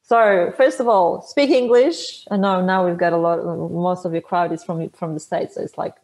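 A woman's voice: fairly low pitch, fairly even intonation, normal speed, a little mellow, low-quality recording. A woman in her thirties, a native Polish speaker with a Polish accent, chatting casually as an interview guest, laughing easily and restarting her sentences. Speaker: interview guest, motorcycle traveler.

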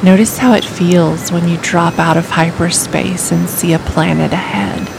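A woman calmly reading a scripted guided imagery meditation in a soothing, deliberate way. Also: fairly low pitch, gravelly, noisy background. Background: music.

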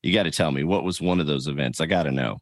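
A man's voice: a bass voice, quick pace, no echo, clean studio sound. A man hosting a podcast, talking in a casual, upbeat way as he introduces his guest. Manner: casual and upbeat, reads ad copy. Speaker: podcast host. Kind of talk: podcast interview.

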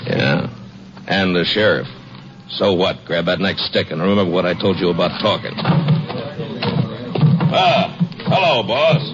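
A man's voice: deep voice, animated, measured pace, pauses often. An actor playing a burly, cocky, bullying logging foreman in a 1950s radio western, with bossy, folksy, ungrammatical talk. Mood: bossy, menacing. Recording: noisy, muffled old radio recording.

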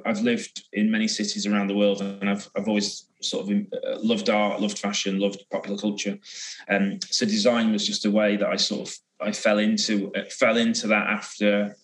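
A man chatting casually as an interview guest, talking haltingly with frequent restarts. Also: fairly low pitch, poor audio. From born in England, grew up in the Middle East. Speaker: interview guest, design agency co-founder. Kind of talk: casual conversational recounting.

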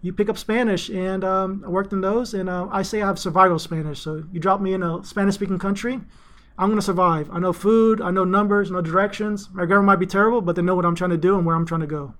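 A middle-aged man with a notably high voice talks through his story in a loose, rambling way, filling pauses with ums. He sounds relaxed and conversational, like a guest on a business interview show. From Greece, raised in Houston, Texas. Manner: chatty, rambling, frequent ums. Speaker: interview guest, finance executive.

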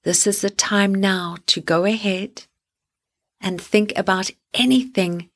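A woman hosting a guided sleep meditation, speaking gently and soothingly in deliberate phrases with long pauses. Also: medium pitch, fairly even intonation.

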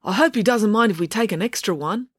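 A woman's voice: medium pitch, quick pace, breathy edge, dry recording, clean studio sound, voice pushed up, close to an everyday voice. A woman reading a story, voicing a nervous, stammering teenage boy. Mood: urgent. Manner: stammers, hesitant.

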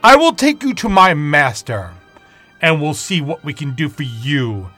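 A man voicing an amused, teasing spirit guarding a gate in an audio storybook, talking slowly and chuckling. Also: on the high side, very animated, sharp tone, soft background music, full character voice.

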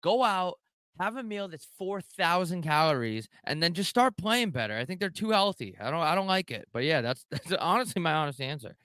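A man's voice: a little high, expressive, brisk, fairly bright tone, dry recording. A young man hosting a live call-in show, joking and rambling with loose, profane banter. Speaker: show host taking live callers. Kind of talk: casual rambling chat.

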